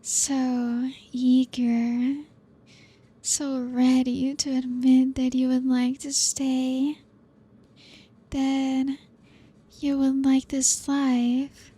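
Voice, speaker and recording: A young woman voicing a possessive, ancient female vampire in an ASMR roleplay, breathy and whispery, teasing slowly with soft laughs. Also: sits high, monotone, full character voice.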